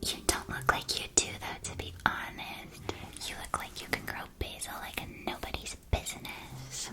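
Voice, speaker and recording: A woman performing an ASMR roleplay as a friendly magical candy shopkeeper, speaking in a soft, breathy whisper with gentle, unhurried sales patter. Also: lightly acted. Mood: gentle, inviting.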